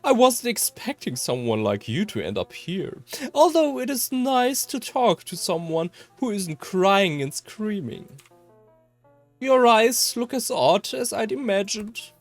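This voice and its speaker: A performer voicing an eerie, playful attendant in a visual novel, coy and knowing, with teasing, drawn-out exclamations. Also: mid-range voice, big pitch swings, faint music behind, pitched above the performer's own voice. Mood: delighted, knowing.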